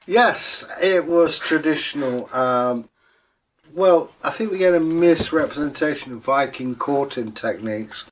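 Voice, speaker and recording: A man's voice: on the high side, slight background noise, poor audio. A man telling stories aloud in a theatrical, joking manner, drawing out words for emphasis. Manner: theatrical, jokey, drawn-out emphasis. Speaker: storyteller. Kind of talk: animated oral folktale telling and chat.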